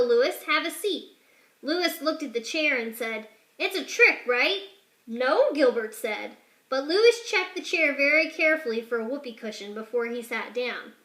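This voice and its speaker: A woman reading a children's picture book aloud, changing her voice for the characters' lines. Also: echoey room. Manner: expressive, shifts pitch and loudness for each character.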